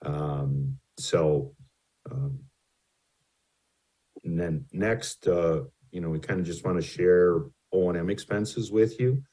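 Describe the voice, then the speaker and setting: Very deep, slow man presenting figures. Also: expressive, warm tone, husky. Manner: hesitant, many ums and restarts; says his voice tends to carry. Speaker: utility rate consultant presenting to a city. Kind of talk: formal presentation with slides.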